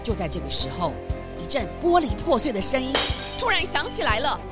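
A woman as the animated, suspenseful narrator of a children's mystery story, reading scripted narration. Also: sits high, fairly quick. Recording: music behind the voice.

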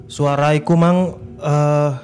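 Seorang pria muda dengan logat Jawa Timur yang menimpali dan bercanda dalam obrolan podcast. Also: male voice, on the high side, level tone, slow, a little mellow, faint music behind. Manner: reactive, echoing and joking along. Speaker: podcast co-host. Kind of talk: casual podcast banter.

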